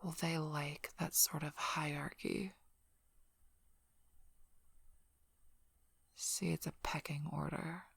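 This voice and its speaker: A woman playing a curt, sardonic, guarded female werewolf pack leader in an ASMR role-play, speaking bluntly and drily in a breathy, often whispered voice. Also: low voice, dry recording, heavily acted. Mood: dry, reluctant. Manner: blunt, dry, impatient, unhurried.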